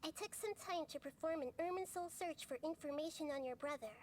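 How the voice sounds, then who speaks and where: A woman voicing a small, childlike female god for a video game, wise, composed and enigmatic, speaking in articulate, gently formal phrases. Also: sits high, brisk, a little hiss or hum, close to an everyday voice. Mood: serious, mysterious. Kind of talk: video game voice acting, measured explanatory dialogue.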